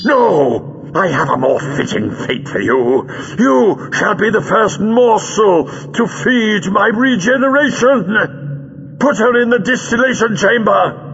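High-pitched, gloating storybook villain. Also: male voice, animated, takes it slowly, a bit bright, big-room sound, muffled recording, full character voice. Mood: furious. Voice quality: hoarse with rage. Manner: imperious, menacing, declamatory. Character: gloating, raging male villain, cruel and theatrical. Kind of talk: dramatic audiobook character voice.

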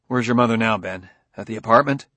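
A man voicing a gruff, impatient detective father with a curt, commanding manner in a science fiction audiobook. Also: lowish voice, fast talker, fairly bright tone, no room sound, natural voice. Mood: urgent.

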